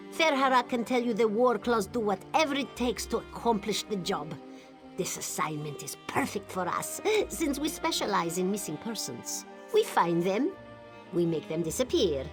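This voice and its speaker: Sly, lively cat-folk mercenary. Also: female voice, medium pitch. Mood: smug, veiled menace. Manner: speaks of self in third person, purring, insinuating. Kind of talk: video game character dialogue.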